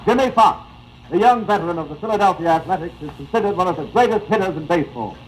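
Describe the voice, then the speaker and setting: High-pitched American 1930s radio announcer. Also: male voice, expressive, quick pace. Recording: 1935 AM radio broadcast, noisy, slightly distorted.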